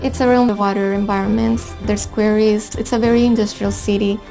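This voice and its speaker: Mellow, even-toned woman. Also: mid-range voice, fairly quick, gravelly, soft background music, low-quality recording. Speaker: game developer. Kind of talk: documentary interview.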